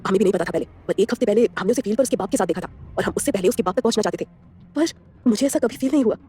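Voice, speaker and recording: A woman voicing a loyal, smirking male gangster sidekick in a low, flat voice. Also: rapid-fire, husky, lightly acted. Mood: cool.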